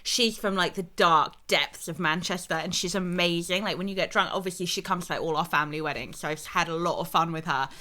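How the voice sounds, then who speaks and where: A woman's voice: fairly low pitch, a little fast, hardly any pauses, bright, forceful tone. A woman co-hosting a casual podcast, chatty and enthusiastic, swearing freely. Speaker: podcast co-host.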